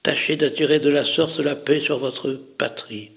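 A man voicing a gentle, solemn adolescent angel in a religious story, speaking in measured, prayerful phrases. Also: on the high side, steady tone, vocal fry. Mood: solemn exhortation.

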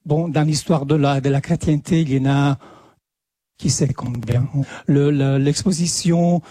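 A man with an Italian accent, a native Italian speaker, giving a talk at a conference in French, halting often and repeating words as he searches for them. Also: fairly high pitch, fairly even intonation, mellow, no room sound. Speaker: lecturer.

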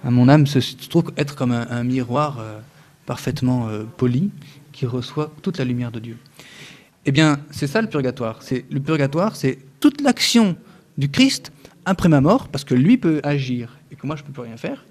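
A man in his thirties gives an earnest religious talk to an audience. His voice sits at an ordinary middle pitch for a man, and his loudness rises and falls as he presses his points. He stumbles often on fillers and restarts, with dry touches of humor. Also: lively intonation, normal speed. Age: 30s. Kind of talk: spoken religious teaching to an audience.

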